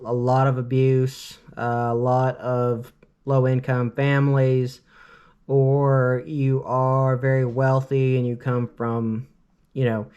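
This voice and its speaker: A man's voice: mid-range voice, darkish tone, clear, resonant tone, muffled recording. A man in his late twenties from Cleveland, telling a casual storytime vlog in a rambling, earnest way, with constant filler words. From Cleveland, Ohio, US. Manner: earnest, opinionated. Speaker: vlogger.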